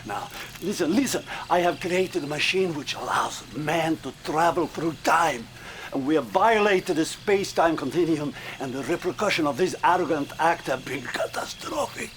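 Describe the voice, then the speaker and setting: A man's voice: a little high, normal speed, a bit bright, slight background noise, lightly acted. A man playing an anxious, secretive Soviet scientist, urgent and pleading, in a video game cutscene. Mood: urgent, fearful. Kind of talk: dramatic game cutscene acting.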